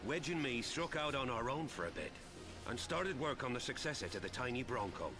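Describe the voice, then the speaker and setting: Blunt game mechanic, music behind. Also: male voice, mid-range voice, sing-song, a little fast. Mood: matter-of-fact. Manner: blunt, informal. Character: blunt, plain-spoken male airship mechanic. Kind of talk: scripted game cutscene acting.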